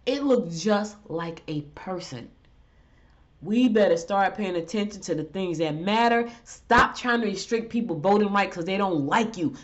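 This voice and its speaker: Chatty woman host with a head cold. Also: normal speed. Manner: chatty, exasperated rants with rising pitch. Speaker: show host. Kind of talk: casual solo talk show. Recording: dull lo-fi audio.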